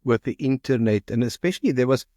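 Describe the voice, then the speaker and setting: A man's voice: medium pitch, animated, fast, mellow, no echo, studio quality. A man from South Africa with a South African accent, a marketing agency founder talking casually as an interview guest, often repeating and restarting words as he thinks aloud.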